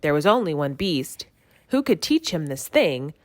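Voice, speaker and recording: A woman giving an expressive read-aloud narration of a rhyming children's story. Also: sits low, a little slow, no echo. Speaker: storybook reader.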